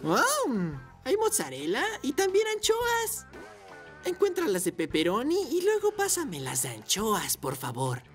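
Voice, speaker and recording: Swooping, fussy little pizza delivery bike. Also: female voice, fairly high pitch, huge pitch swings, unhurried, faint music behind. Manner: jittery, exclaiming, dramatic. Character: fussy, flustered young pizza delivery motorbike boy. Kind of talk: animated children's cartoon dub.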